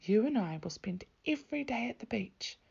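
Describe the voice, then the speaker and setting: A woman's voice: fairly high pitch, animated, moderate pace, vocal fry, a little mellow, slightly rough, voice pushed up, full character voice. A practical, kindly grandmother from a storybook, as a woman reader plays her. She speaks emphatically and reassuringly, with a slightly muffled recording.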